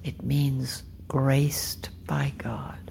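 Slow, low-voiced grieving farm widow. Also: female voice, steady tone, gravelly, a little hiss or hum, exaggerated voice. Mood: bitter grief. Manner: slow, deliberate, hushed. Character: grieving farm widow and mother, bitter, quietly menacing. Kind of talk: naturalistic audio drama acting.

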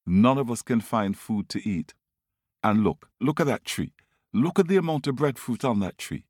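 A man's voice: medium pitch, lively intonation, moderate pace, darkish tone, lightly acted. A man voicing a crowd of hungry forest animals, plaintive and complaining, in a told folktale.

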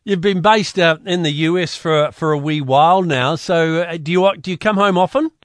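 A man's voice: fairly high pitch, normal speed, no echo. A man hosting a radio interview, asking chatty, friendly questions. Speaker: radio host. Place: radio studio. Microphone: studio mic.

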